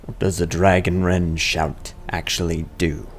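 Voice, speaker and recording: A man's voice: deep voice, flat delivery, a little slow. A man reading a game hero's questions aloud as he plays. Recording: faint background noise.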